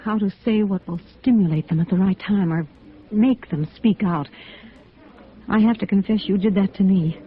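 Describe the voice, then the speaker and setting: A woman's voice: medium pitch, moderate pace, breathy edge, close to an everyday voice. A woman acting an uneasy but principled American school principal in a 1950s radio drama, speaking hesitantly and earnestly. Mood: earnest, troubled. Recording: thin, narrow vintage radio recording.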